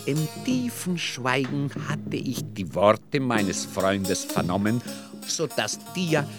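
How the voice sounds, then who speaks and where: A man's voice: mid-range voice, very animated, music in the background. An actor as a wry author addressing his audience in ornate, formal literary narration for a radio drama. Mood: ornate, amused. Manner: measured, formal.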